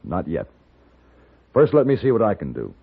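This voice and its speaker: An actor playing a steady, courteous American gunfighter in a radio western, speaking and narrating in a measured, gentlemanly way. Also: male voice, heavily acted. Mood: probing, concerned. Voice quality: deep, gravelly. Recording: muffled narrow-band old radio, faint hiss.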